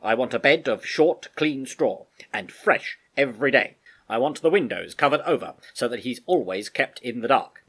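A man voicing a firm but humane army major in a storybook, speaking in a deliberate, clipped, authoritative way. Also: medium pitch, animated, a bit bright, slightly rough, voice pushed up. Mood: commanding.

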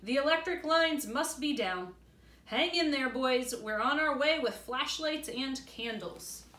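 A woman's voice: high-pitched, animated, measured pace, sharp tone, pitched above the performer's own voice, exaggerated voice. A woman reading the part of a protective father calling out steadily in a storybook, in a roomy space. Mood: steadying. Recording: roomy, distant camera mic with faint noise.

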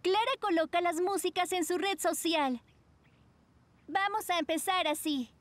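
Very high, gushing teen fangirl. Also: a little slow, background noise. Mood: bickering. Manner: dramatic, emphatic. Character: gushing, dramatic, starry-eyed teenage fangirl. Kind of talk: animated cartoon dub acting.

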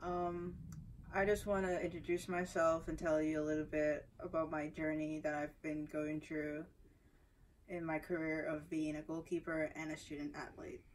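A young woman with a Guyanese accent telling her life story to camera, soft-spoken and hesitant, with many fillers, recorded indoors from a few feet away. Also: sits low, flat delivery, moderate pace, recorded from a distance, slight background noise, poor audio. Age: young adult. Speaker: athlete introducing herself. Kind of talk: personal story told to camera. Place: small indoor room before a banner.

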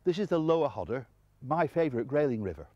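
A man's voice: medium pitch, fast talker, no room sound, dull audio. Older man explaining fly fishing outdoors. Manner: calm, explanatory, enthusiastic about the fish. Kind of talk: outdoor instructional presenting. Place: outdoors on a river bank.

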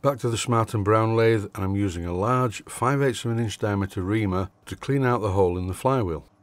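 Older Yorkshire man, low-pitched, measured narrator with dry humour. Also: no echo. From Yorkshire, England. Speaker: hobby machinist presenter. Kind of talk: scripted workshop voice-over.

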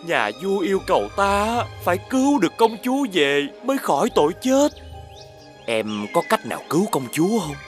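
A deceitful elder brother in a cartoon fairy tale. His fairly high voice swings widely as he wheedles and flatters, with a southern Vietnamese accent, over light music. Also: moderate pace. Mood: pleading. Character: sly, wheedling, deceitful sworn older brother. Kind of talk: animated fairy-tale film acting.

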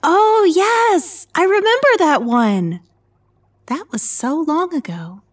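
A woman's voice: very high-pitched, expressive, slow pace, full character voice. A woman playing an easygoing, curious space explorer in an audio drama.

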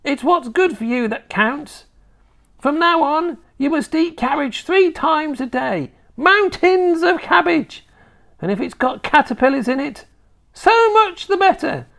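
A woman's voice: high-pitched, lively intonation, unhurried, creaky, a bit bright, pitched above the performer's own voice, full character voice. A woman voicing a nasty, bossy, gleefully wicked tiny old grandmother in a children's storybook, with a snappish, scornful, emphatic delivery.

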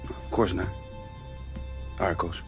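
An actor playing an earnest, respectful young American quarterback in a video game cutscene. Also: male voice, low voice, little pitch movement, a little slow, gravelly, faint music behind. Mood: apologetic. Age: young adult.

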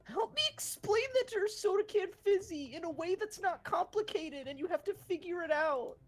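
Visual novel schoolgirl , played by a woman: very high, pouty, tearful, quick to take offense. Music underneath. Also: lively intonation. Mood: whiny indignation. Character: whiny, indignant teenage schoolgirl, pouty and quick-tempered. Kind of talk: amateur visual novel voice acting.

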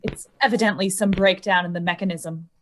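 A woman's voice: on the low side, steady tone, brisk, fairly bright tone, a little hiss or hum, lightly acted. A woman acting a detached expert on robot behavior in an old-time radio drama. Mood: detached, musing.

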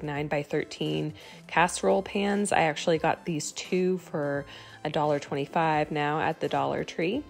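A woman's voice: low-pitched, creaky. An American woman giving a casual recipe voice-over in a conversational, explanatory way, as a home baking vlogger.

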